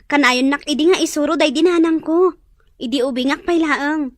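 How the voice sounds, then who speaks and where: A woman's voice: sits high, normal speed, no echo, clean studio sound, lightly acted. A woman playing a respectful, deferential young household helper in a drama, speaking with an Ilocano accent. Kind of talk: acted dialogue in an Ilocano drama.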